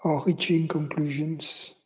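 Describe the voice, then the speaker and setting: Male meditation guide, very slow with long pauses, mellow and somewhat husky, slightly dull recording. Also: fairly high pitch, flat delivery. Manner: unhurried, with long pauses between short phrases. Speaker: meditation teacher.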